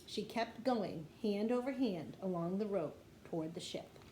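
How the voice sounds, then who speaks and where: A woman reads a children's adventure book aloud with expressive storytelling. Her voice sits at a middling pitch, recorded in an echoey room with faint noise behind it. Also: a little slow. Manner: animated storytelling with acted dialogue. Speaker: teacher reading aloud to kindergarteners. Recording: roomy echo with light background noise.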